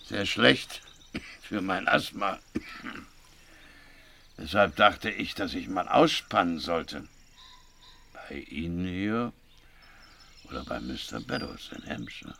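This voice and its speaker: An actor playing a sly old seaman blackmailer in a radio drama, gravelly, falsely polite and insinuating. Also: low voice, lively intonation, takes it slowly, long pauses, pushed and bright, slight background noise, heavily acted. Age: older. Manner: drawling, insinuating.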